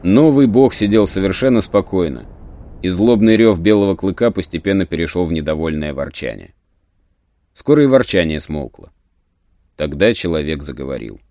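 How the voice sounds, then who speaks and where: A man narrating an adventure story in a measured, even storytelling manner. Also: deep voice, dry recording. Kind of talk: scripted narration of a radio play.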